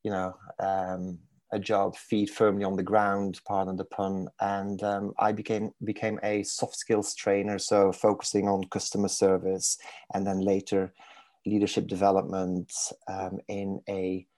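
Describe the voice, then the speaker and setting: A man's voice: low-pitched, completely flat delivery, a little slow, a little mellow, no room sound. A man in his forties from Belgium with a Belgian accent touched by Manchester, speaking reflectively as a mindfulness coach on a podcast. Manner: thoughtful, searching for words, self-deprecating humour.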